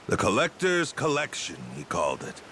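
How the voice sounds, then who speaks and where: A man's voice: mid-range voice, huge pitch swings, moderate pace, sharp tone, slight background noise. A man playing a wry pirate crewman in a video game, casual, slangy and sardonic. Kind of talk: video game cutscene acting.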